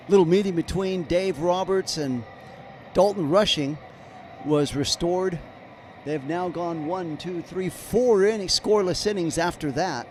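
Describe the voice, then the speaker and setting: A slightly hoarse man doing live play-by-play commentary of a baseball game, calling each pitch as it happens. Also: a little mellow, slight background noise. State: slightly hoarse, clearing throat.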